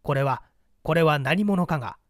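A man voicing a young detective's stern written report in a formal, declamatory, deliberate manner. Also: fairly high pitch, dry recording, clean studio sound, close to an everyday voice.

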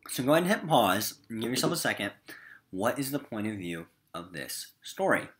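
A man's voice: medium pitch, sing-song, a little fast, a bit bright, breathy edge. A man with an American accent teaching a recorded literature lesson in an emphatic, informal classroom style.

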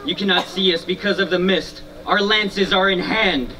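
A man's voice: sits high, moderate pace, pushed and bright. A man playing a proud, defiant Californio rancher's son in a live historical reenactment, speaking formally and boastfully. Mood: smug, confident. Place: outdoor stone amphitheater in open scrubland. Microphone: stand mics, recorded from a distant camera. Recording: outdoor stage from far off.

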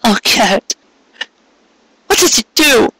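A man's voice: very high-pitched, animated, slow, sharp tone, breathy edge, voice pushed up, full character voice, poor audio. A man voicing a distraught female cartoon pony, breathless, pleading and accusing in an amateur story reading. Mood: frantic, accusing. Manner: breathless, pleading, repeating herself.

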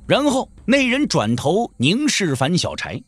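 A man's voice: sits high, huge pitch swings, measured pace, breathy edge, no room sound. A man narrating a Chinese wuxia audio drama in dramatic, scripted storytelling style.

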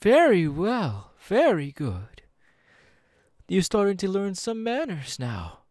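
A man voicing a suave, menacing demon in an ASMR roleplay, with teasing, patronising, drawn-out phrasing, close on the microphone. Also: high-pitched, huge pitch swings, a little mellow, dry recording, exaggerated voice. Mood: patronising menace. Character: suave, menacing male demon, playfully condescending and seductive. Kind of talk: ASMR audio roleplay, second-person monologue. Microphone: close dry microphone.

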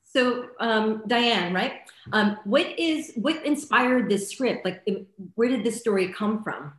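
A woman's voice: on the high side, expressive, takes it slowly. Echoey middle-aged woman moderating a panel. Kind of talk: casual panel interviewing. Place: indoors on a video call. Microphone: computer mic on video call. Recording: roomy, echoey video call.

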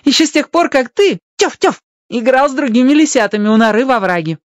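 A performer playing an eager, friendly young boy in a radio play, excitedly yelping like a fox between phrases. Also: sits high, fairly quick, dry recording, voice pushed up. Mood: earnest.

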